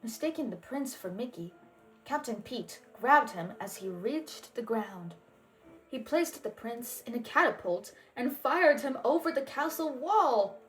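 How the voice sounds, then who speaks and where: High-voiced woman reading a storybook. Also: expressive, unhurried, faint music behind, rough recording. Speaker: storybook reader. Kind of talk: children's read-aloud narration.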